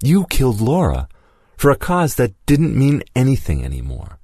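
A man voicing Lewis, an angry, vengeful former comrade who shouts accusations and whose voice cracks. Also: medium pitch, wildly swooping pitch, moderate pace, slightly rough, no room sound, clean studio sound, pitched above the performer's own voice, exaggerated voice. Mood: snarling threatening.

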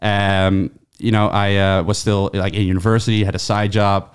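A man's voice: lowish voice, little pitch movement, normal speed, clear, resonant tone. A man in his thirties with a Dutch accent, chatting casually as a podcast guest, rambling with fillers and restarts. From Netherlands. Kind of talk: casual interview chat.

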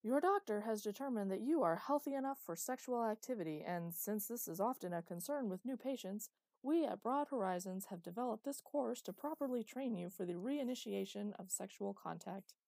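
A woman's voice: mid-range voice, lively intonation, a little fast, gravelly, a little mellow, no echo, voice pushed up. A woman voicing a man narrating an old instructional video in a formal announcer manner. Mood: announcing.

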